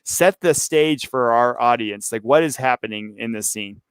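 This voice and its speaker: A male interview host with a smooth, clean voice and a very dry sound. He chats casually and rambles, often repeating a word or two while he finds the rest of the sentence. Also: mid-range voice, moderate pace, dry recording. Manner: rambling, repeats his words as he thinks. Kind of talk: casual chat.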